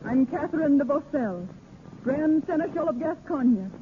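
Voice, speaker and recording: A woman's voice: high-pitched, lively intonation, normal speed. A woman playing a proud, passionate young noblewoman in a 1930s radio drama, formal and melodramatic, on a noisy, tinny old recording. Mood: earnest devotion.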